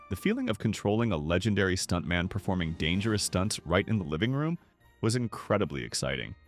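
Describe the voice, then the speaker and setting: Low-voiced male narrator, lively intonation. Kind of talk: scripted nostalgic documentary narration.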